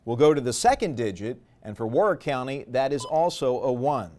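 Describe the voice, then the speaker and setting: A man's voice: mid-range voice, lively intonation, fairly quick, a little mellow. A man narrating a product tutorial step by step in a methodical, explanatory way. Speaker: instructional presenter.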